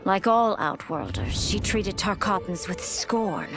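A proud young empress. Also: female voice, mid-range voice, takes it slowly, over music. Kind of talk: video game ending narration.

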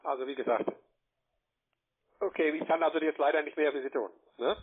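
A man's voice: on the high side, brisk, husky. A man working as a call center agent, speaking defensively and repetitively in an unscripted phone call. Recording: tinny telephone line.

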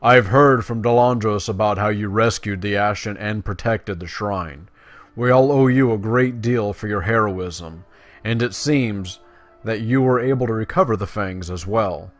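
A man's voice: on the low side, measured pace, no echo. A man hosting a gaming video, casually commentating and reading quest text aloud in a playful way. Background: game music.

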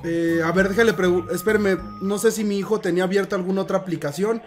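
A man's voice: high-pitched, steady tone, fast, soft background music. A young man speaking politely and hesitantly on a prank phone call, playing a concerned father. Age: young adult. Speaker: prank caller posing as a father. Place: room at home, indoors.

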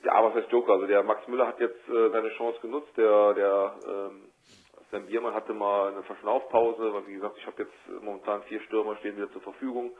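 A man giving a spontaneous sports interview over the telephone as a football coach, measured and matter-of-fact with hesitations. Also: lowish voice, flat delivery. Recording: tinny, band-limited telephone line.

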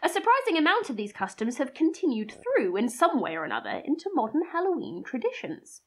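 A woman's voice: high-pitched, wildly swooping pitch, a little fast. A British woman co-hosting a folklore podcast, mixing scripted narration with dry, playful banter. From Britain. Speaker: podcast co-host.

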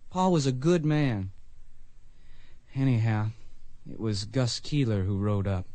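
A man's voice: on the low side, warm tone, no echo, natural voice. An actor playing an earnest, plain-spoken blind young man in a western radio drama. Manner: plain-spoken, polite, emotional. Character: earnest blind youth of the Old West, grieving son.

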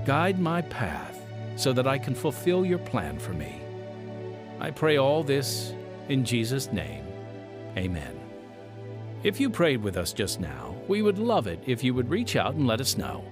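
An American man reads scripted ministry narration as a radio host. His pitch swings expressively through earnest, measured phrases, and music plays behind his voice. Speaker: radio show host and announcer.

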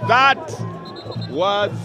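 A man with a Ugandan English accent giving live football commentary, with flowery, theatrical phrasing and shouts at the big moments. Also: sits high, very animated, extremely slow. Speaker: play-by-play commentator. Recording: broadcast mix with loud crowd and music.

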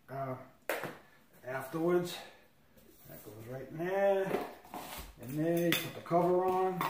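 A man in his fifties showing his hiking gear in a living room, rambling and halting with lots of fillers. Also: medium pitch, background noise. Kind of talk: casual gear walkthrough. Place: sunny living room with big windows. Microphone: camera across the room. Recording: roomy, from far off.

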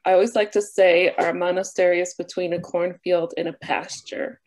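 A woman in her thirties, a nun and vocations director, born in Argentina and raised near New Orleans, talks unhurriedly over a webcam with many fillers and soft laughs. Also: lowish voice, flat delivery, normal speed. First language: Spanish. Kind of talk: casual talk, opening with a prayer. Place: small bedroom with a wooden armoire, indoors. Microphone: webcam on a video call.

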